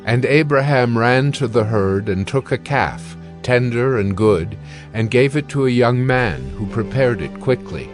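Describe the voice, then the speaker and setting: A man narrating scripture from a script in a measured, formal manner. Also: mid-range voice, animated, over music.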